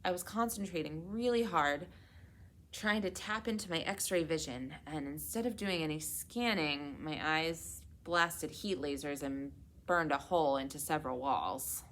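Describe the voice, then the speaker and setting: A woman voicing an earnest, excitable young superhero girl in a children's storybook, eager and slightly anxious. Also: deep voice, measured pace, slight background noise. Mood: sheepish, hurried.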